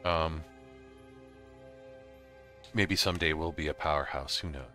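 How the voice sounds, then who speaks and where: A man narrating a casual gaming software tutorial, explaining things informally step by step. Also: a bass voice, unhurried, faint music behind. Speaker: host of a gaming tutorial series. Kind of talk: casual software tutorial narration over slides and screen capture.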